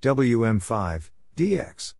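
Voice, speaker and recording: A man's voice: mid-range voice, very animated, takes it slowly, gravelly, noisy background. A man doing a scripted read-out for a practice drill, spelling out letters and numbers one by one and giving short exchanges. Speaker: narrator of Morse code practice drill.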